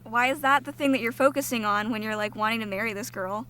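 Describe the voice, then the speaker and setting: A woman with a fairly high voice chats casually as a podcast host. She is blunt and opinionated, recorded with faint background noise. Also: quick pace, sharp tone. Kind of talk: casual chat.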